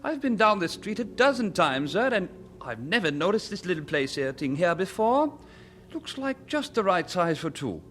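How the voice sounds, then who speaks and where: A man's voice: high-pitched, big pitch swings, normal speed, fairly bright tone, soft background music. An actor playing a fussy, polite elderly Englishman in an old-time radio drama, chatty and a little hesitant. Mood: genial. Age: older.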